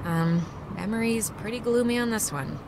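A woman's voice: a little high, lively intonation, moderate pace, background noise. A young woman playing a dry, sardonic American teenage girl with a hesitant, casual delivery in a video game. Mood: wry. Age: young adult. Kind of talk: naturalistic video game dialogue.

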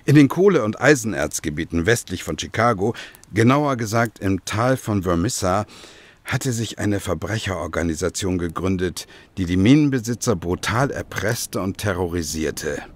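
An actor playing a grave former detective who recounts his past in a measured, dramatic way in a German radio play. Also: low-pitched, animated, dry recording. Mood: grave, ominous.

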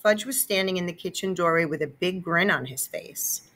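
A woman narrating a children's story aloud in an expressive read-aloud style. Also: fairly low pitch, moderate pace.

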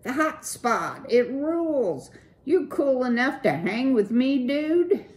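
A woman's voice: high-pitched, sing-song, slow pace, a little mellow, pitched above the performer's own voice, exaggerated voice. A woman voicing a cool, slang-talking young male city mouse with a laid-back, breezy drawl. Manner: laid-back surfer slang, keeps saying "dude".

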